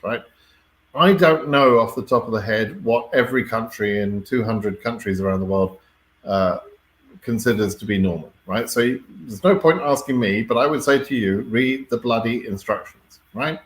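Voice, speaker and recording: A British man hosts a casual live Q&A, reading questions and answering them off the cuff. His voice sits at an average pitch for a man. He is blunt and wry, a little exasperated, and often checks that listeners follow. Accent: British English. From Britain.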